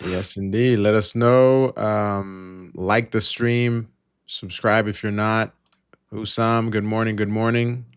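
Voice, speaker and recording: A man's voice: lowish voice, fairly even intonation, takes it slowly, clear, resonant tone. A man co-hosting a live trading stream with casual market commentary, thinking aloud in a hesitant way.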